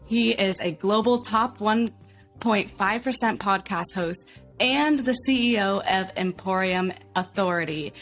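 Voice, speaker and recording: A woman speaks as a podcast co-host at a middle pitch, reading introductions and putting questions to a guest. Music plays behind her voice. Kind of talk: scripted podcast introduction and interviewing.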